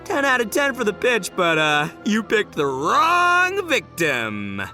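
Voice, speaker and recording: A boastful brash beetle champ. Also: male voice, high voice, huge pitch swings, slow, bright, forceful tone, faint music behind, heavily acted. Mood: animated. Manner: boisterous, laughs a lot, slangy. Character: brash young male beetle-battle champ, boastful hustler. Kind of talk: video game voice acting.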